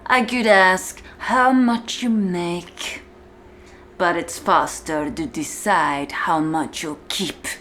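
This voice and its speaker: A woman performing a cold, domineering female warden in an ASMR-style roleplay monologue, deliberate and condescending, speaking straight to the listener with hushed, whispery drops. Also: lowish voice, a little hiss or hum. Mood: commanding.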